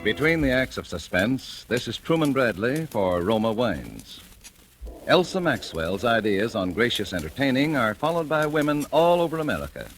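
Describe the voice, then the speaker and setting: Smooth persuasive radio announcer man. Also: medium pitch, very animated, moderate pace, soft background music. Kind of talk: 1940s radio ad read. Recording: noisy old radio broadcast.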